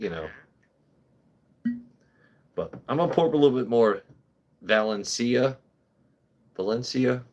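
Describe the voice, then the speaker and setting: A man in his thirties hosting a casual livestream, talking bluntly with lots of swearing and rambling asides. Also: lowish voice. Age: 30s. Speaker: whiskey channel host.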